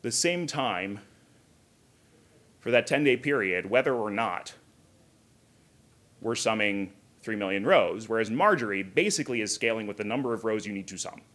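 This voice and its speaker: A man's voice: on the low side, lively intonation, moderate pace, pauses often, fairly bright tone. A man in his thirties giving an informal technical conference talk, explaining conversationally with asides from a podium. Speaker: conference speaker, software engineer. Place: hotel conference room, indoors.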